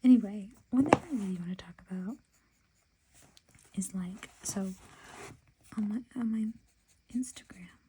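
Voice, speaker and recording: Softly whispering woman designer. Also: mid-range voice, unhurried, pauses often, slight background noise. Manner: soft whisper, chatty and self-talking. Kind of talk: whispered casual chat while sketching designs.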